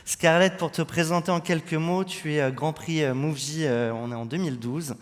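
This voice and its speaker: A man's voice: on the high side, fairly even intonation, moderate pace. A man from France hosting an on-stage interview, putting questions to guests. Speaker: host, interviewer. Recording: echoey hall, long reverb.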